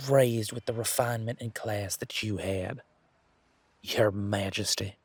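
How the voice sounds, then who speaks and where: A man playing an easygoing, teasing country-boy werewolf bodyguard in a roleplay audio drama, talking in a folksy, informal way with drawn-out hesitations. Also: lowish voice, breathy edge, no echo. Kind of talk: roleplay audio drama, one-sided conversation.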